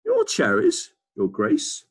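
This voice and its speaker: A man's voice: on the low side, huge pitch swings, takes it slowly, heavily acted. A man voicing an earnest young boy in a storybook reading, close to his own voice. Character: young boy Billy, the narrator as a child, earnest.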